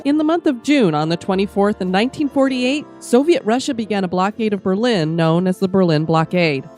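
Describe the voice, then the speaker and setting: A woman's voice: mid-range voice, animated, brisk, darkish tone, faint music behind. Stuffy-voiced woman hosting a podcast. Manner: upbeat, reads from a script. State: stuffy with a summer cold. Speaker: podcast host. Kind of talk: scripted podcast narration.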